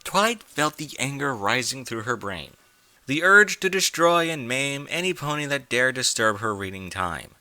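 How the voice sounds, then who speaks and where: Very bright, animated male storyteller. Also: medium pitch, moderate pace, no room sound. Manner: theatrical, emphatic. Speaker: narrator, reader of fan fiction. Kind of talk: dramatic scripted story narration.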